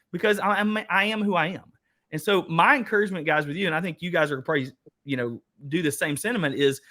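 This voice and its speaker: A man with an Eastern Kentucky accent hosts a casual workshop talk and speaks to the crowd as "guys." His voice sits a little high and sounds bright and forceful. He talks in a folksy, rambling way. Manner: chatty, folksy, restarts and speaks to the audience as "guys". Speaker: host.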